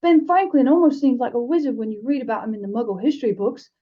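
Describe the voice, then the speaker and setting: Woman as a breezy, high-voiced chatty schoolboy. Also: fast, lo-fi sound, close to an everyday voice. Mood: offhand. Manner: offhand, rambling, placid.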